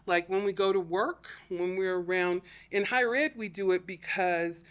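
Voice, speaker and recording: A middle-aged woman, a scholar and podcast co-host, explaining her views in a measured, academic way during a casual conversation. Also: fairly low pitch, fairly even intonation.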